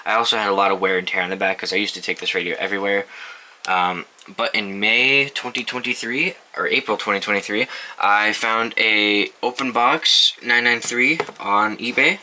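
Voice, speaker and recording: A man's voice: fairly low pitch, moderate pace, sharp tone, a little hiss or hum, rough recording. A young man casually reviewing his collection of weather radios, offhand and swearing now and then, with many ums and restarts. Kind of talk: casual show-and-tell review.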